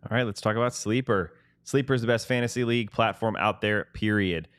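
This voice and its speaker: Man, lowish pitch, dry sound, sports podcast co-host, casual delivery. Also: a little fast, fairly bright tone, clean tone, no echo. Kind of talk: casual sports talk and ad reads.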